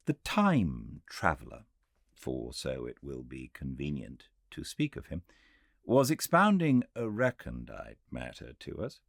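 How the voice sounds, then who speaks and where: A man with a British accent giving a measured, expressive, scripted literary narration as an audiobook reader. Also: rumbling low, no echo, clean studio sound. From Britain.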